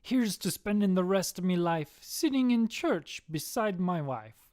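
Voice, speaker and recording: A woman's voice: fairly low pitch, expressive, unhurried, warm tone, dry recording, clean studio sound, pitched above the performer's own voice. A woman voicing a jovial, hearty Irish husband who drinks at the pub, in a comic story.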